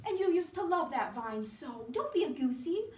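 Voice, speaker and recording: Woman playing an anxious, high-pitched young woman. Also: big pitch swings, moderate pace, darkish tone. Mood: anxious, coaxing. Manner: emphatic, coaxing, flustered. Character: anxious, fussing, motherly young woman, devoted friend. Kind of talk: solo dramatic storytelling performance. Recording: echoey room, distant mic, background noise.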